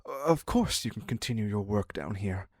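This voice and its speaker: A man playing an obsessive, needy captor devoted to his captive in an intimate dark romance roleplay, husky and halting, often dropping into a whisper. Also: lowish voice, expressive, no echo, studio quality. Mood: eager, devoted. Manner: halting, pleading, very slow with long pauses.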